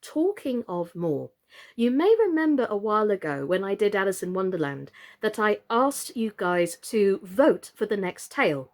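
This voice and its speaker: A woman reading and presenting stories for children, expressive and addressing her listeners directly. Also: mid-range voice, moderate pace. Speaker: children's story reader and online storytime presenter. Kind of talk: storybook narration and friendly presenting to children.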